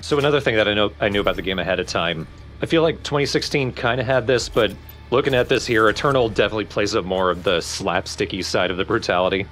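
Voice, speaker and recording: Man, fairly deep pitch, let's play co-commentator, casual chat, faint music. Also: quick pace, a bit bright.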